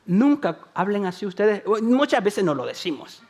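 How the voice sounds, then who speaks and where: A man's voice: sits high, sing-song, moderate pace, a little mellow. A man from El Salvador preaching a sermon, talking to the congregation in a conversational way, repeating phrases for emphasis and joking about himself. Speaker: pastor.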